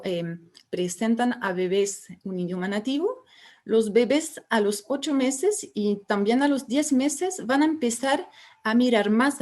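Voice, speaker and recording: Female researcher, fairly high voice, explanatory lecture delivery with fillers. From France. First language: French. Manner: explanatory, pauses with fillers and restarts. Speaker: researcher giving a symposium talk. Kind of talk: academic lecture.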